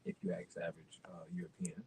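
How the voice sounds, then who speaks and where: Gravelly low-voiced man, hesitant. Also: very animated, fast talker, warm tone. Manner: hesitant, many fillers. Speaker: guest. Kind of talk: casual conversation. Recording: faint background noise.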